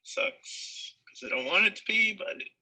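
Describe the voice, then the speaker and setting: A man's voice: high voice, lively intonation, moderate pace, sharp tone, breathy edge, rough recording. A man in an earnest, self-doubting way, speaking in a documentary clip. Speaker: documentary subject. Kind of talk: confessional film clip.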